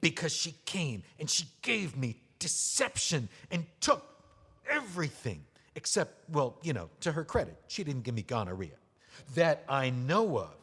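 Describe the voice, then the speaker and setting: A bitter, drunken middle-aged widower rants in a sarcastic, slurring voice, as an actor plays him. His pitch sits a bit high and swoops widely. A long reverb trails his words. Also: sing-song, unhurried, a bit bright, breathy edge, full character voice. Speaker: memoir author. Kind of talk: audio drama acting.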